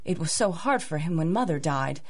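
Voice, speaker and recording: A woman's voice: deep voice, animated, fairly quick. An actress playing a polite, grieving young lady, earnest and a little hesitant, in a 1930s-style radio drama. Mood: wistful.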